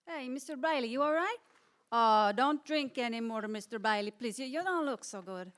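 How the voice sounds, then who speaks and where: Casting: female actor as protective, flustered bar keeper, high swooping voice, stammering, radio drama. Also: animated, moderate pace, a bit bright. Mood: concerned. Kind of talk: old-time radio drama acting.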